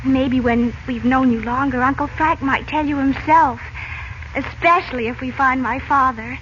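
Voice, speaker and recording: A woman's voice: high voice, steady tone, normal speed, a bit bright, a little hiss or hum. A woman playing an innocent, trusting young girl, anxious and polite, in a 1940s radio serial. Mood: earnest, apologetic. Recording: old 1940s radio broadcast, muffled.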